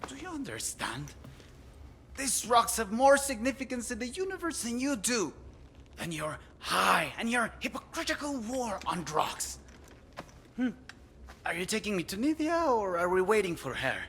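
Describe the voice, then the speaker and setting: A woman's voice: a little high, very animated, normal speed, a bit bright, slight background noise. A woman voicing an arrogant, philosophical cartel captive in a game cutscene, condescending and theatrical in delivery. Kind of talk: dramatic video game cutscene acting.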